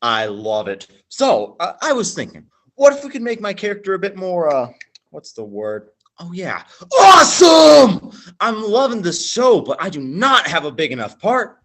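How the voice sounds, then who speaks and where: Dude doing a goofy hyper contest winner, high bright voice, swoops like crazy but talks slow. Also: male voice, heavily acted. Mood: excitable. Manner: excitable, bursts into shouting. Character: overeager male contest winner, goofy and loud. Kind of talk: school stage play acting.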